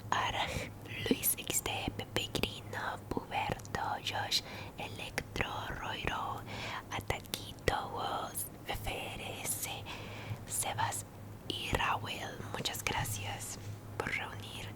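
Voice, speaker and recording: A woman whispers in ASMR style, softly repeating words and phrases. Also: very slow. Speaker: ASMR creator and channel host.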